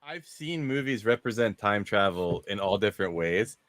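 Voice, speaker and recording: A man's voice: on the low side, lively intonation, moderate pace, fairly bright tone, dry recording. Casual man talking movies, dry close sound. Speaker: panelist on a movie discussion show.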